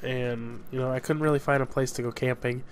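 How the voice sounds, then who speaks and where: A man's voice: mid-range voice, steady tone, fairly quick, slight background noise. A young man from southern Idaho, congested from a cold, chatting casually over gameplay footage as a gaming YouTuber, rambling with lots of fillers.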